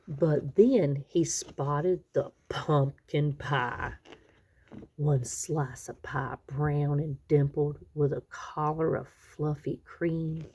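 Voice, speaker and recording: Woman, children's story reader, gravelly fry, lowish pitch, expressive, dramatic emphasis, unhurried. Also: slight background noise. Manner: dramatic, drawn-out emphasis on key words. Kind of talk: expressive picture-book read-aloud.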